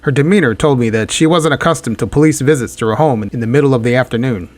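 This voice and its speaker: A man's voice: medium pitch, quick pace, dry recording, lightly acted. Man playing a wry hard-boiled radio private eye. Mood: measured narration. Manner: wry, deadpan, wisecracking. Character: hard-boiled, wisecracking male private detective narrating his case. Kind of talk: 1940s radio detective drama acting.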